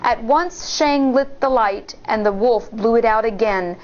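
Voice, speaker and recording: Woman, schoolteacher reading aloud, fairly high voice, unhurried, explanatory, slightly muffled recording. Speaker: elementary school teacher, story reader. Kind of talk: storybook read-aloud with asides to children.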